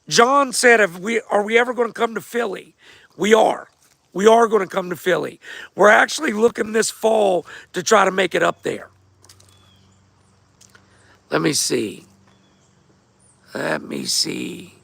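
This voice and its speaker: Host, male, hoarse and gravelly, higher-pitched, laid-back chat to viewers. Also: a little slow, pauses often, a bit bright, no room sound, clean studio sound. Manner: laid-back, repeats himself, talks straight to viewers. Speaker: host of a fight show answering viewer questions. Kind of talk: casual livestream chat.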